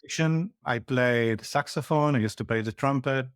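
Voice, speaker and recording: A man from Argentina, a guest on a podcast, explaining his points in a measured, step-by-step way. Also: mid-range voice, clear, resonant tone, no room sound, studio quality. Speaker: interview guest, security executive. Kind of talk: casual interview chat.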